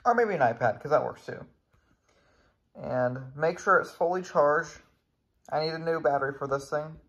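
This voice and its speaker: A man's voice: a little high, moderate pace, a bit bright, breathy edge, lo-fi sound. A man giving a casual hands-on tutorial as a video creator, recorded indoors at home on a handheld camera. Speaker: video creator demonstrating radios.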